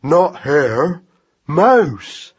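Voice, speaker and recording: Husky, simple young giant mouse. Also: male voice, a little high, sing-song, highs cut off, heavily acted. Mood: bewildered. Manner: slow, broken baby-talk phrases. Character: giant young male mouse, simple-minded, earnest, childlike.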